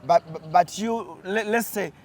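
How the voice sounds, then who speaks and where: A man's voice: high voice, measured pace, a little mellow. A Ugandan man hosting a casual outdoor interview, chatty and full of fillers. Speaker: host. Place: outdoors in nature.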